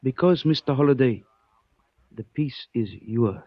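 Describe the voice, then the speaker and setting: An actor playing a calm, courteous Chinese antique shopkeeper who speaks formally and philosophically in a 1940s radio drama. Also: male voice, medium pitch, a little slow, dry recording, natural voice. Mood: serene, cryptic. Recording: dull old 1940s radio recording.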